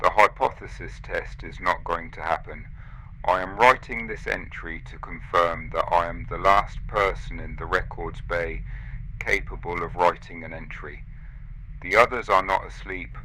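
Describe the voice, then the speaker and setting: A man voicing a resigned, dying station officer recording grave, measured log entries for a sci-fi audio drama. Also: sits low, pushed and bright, a little hiss or hum, rough recording. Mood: exhausted resignation. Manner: grave, measured, clipped sentences.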